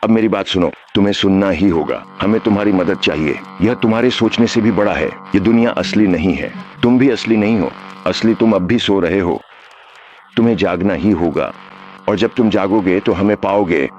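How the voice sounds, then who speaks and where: Man playing a low-voiced, desperate secret messenger. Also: normal speed, darkish tone, muffled recording, slight background noise, lightly acted. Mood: desperate, insistent. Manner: urgent, insistent, conspiratorial. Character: desperate male rebel sending a secret urgent message. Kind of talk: analog horror acting.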